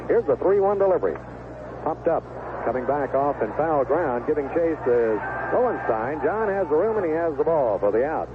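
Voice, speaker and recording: A man calling baseball play-by-play in a folksy, conversational 1970s radio style. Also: mid-range voice. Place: stadium broadcast booth. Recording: lo-fi, noisy vintage AM radio broadcast. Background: ballpark ambience and noise.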